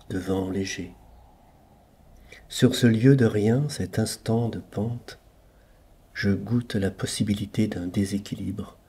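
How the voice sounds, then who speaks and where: A man with a low, husky voice recites poetry. His delivery is very slow and contemplative, setting short phrases apart with deliberate pauses. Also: mellow. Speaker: poet reading own poems.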